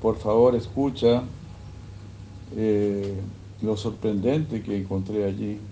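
A man giving a sermon, retelling a scripture story in a slow, measured way. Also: lowish voice, steady tone, dark tone, muffled recording, slight background noise. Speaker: religious speaker.

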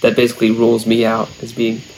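A man's voice: medium pitch, completely flat delivery, fast talker, darkish tone, a little hiss or hum, rough recording. Rambling young man chatting casually. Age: young adult. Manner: rambling, many fillers and restarts. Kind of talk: casual chat.

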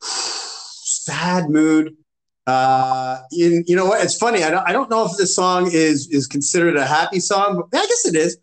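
A man co-hosting a casual podcast chat, talking in halting restarts and laughing easily. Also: fairly high pitch, expressive, poor audio. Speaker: podcast co-host.

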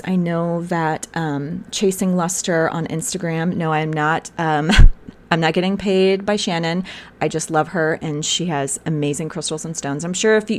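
A woman hosting a podcast in a casual, rambling way, slightly hoarse, sometimes reading aloud from a website. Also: fairly low pitch, slight background noise. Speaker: podcast host. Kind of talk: casual spiritual podcast talk.

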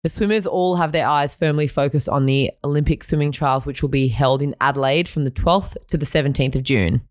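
An Australian woman co-hosting a sports podcast, a little croaky after a weekend, bright and chatty. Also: sits low, brisk, no room sound, clean studio sound. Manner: bright and chatty, laughs often. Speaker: podcast co-host. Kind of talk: sports news podcast reading and chat.

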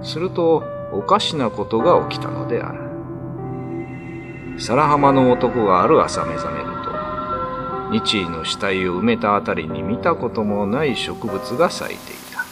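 A man's voice: fairly low pitch, very animated, moderate pace, loud music behind the voice. A man narrating a classic ghost story as a dramatic scripted reading.

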